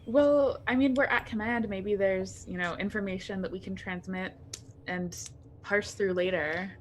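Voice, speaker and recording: A woman's voice: mid-range voice, unhurried. A woman playing a tabletop roleplaying game, improvising in-character dialogue in a conversational way. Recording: faint background noise.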